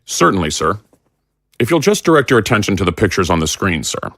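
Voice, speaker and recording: An actor playing a hawkish army general who briefs in a formal, measured, ominous way. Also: male voice, on the low side, sing-song, fairly bright tone, no room sound, studio quality, exaggerated voice. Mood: grave. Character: grave hawkish army general, middle-aged man.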